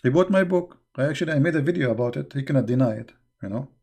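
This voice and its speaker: A man whose first language is Arabic, hosting a livestream and telling stories casually in English, mocking and animated, with many fillers and non-native grammar. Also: medium pitch, vocal fry. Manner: animated, mocking, quick bursts in retold quotes. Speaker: livestream host. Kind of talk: casual storytelling to a live chat.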